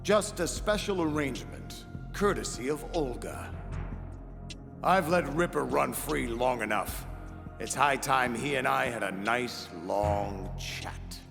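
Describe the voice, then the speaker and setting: An actor playing a middle-aged villain leader in a video game drama, measured, authoritative and condescending. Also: male voice, medium pitch, gravelly. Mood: commanding. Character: commanding, smooth-talking villain leader, middle-aged man. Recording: long reverb with music behind.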